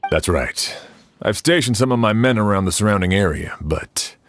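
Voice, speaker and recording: A man voicing a stern, practical sheriff in a video game, measured and businesslike. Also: lowish voice, lively intonation, dry recording, studio quality, close to an everyday voice.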